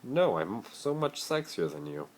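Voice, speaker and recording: A man as a low-voiced cocky teenage hero. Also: very animated, unhurried. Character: skeptical, cocky teenage wizard boy hero. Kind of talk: amateur dramatic reading of fan fiction. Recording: noisy amateur phone recording.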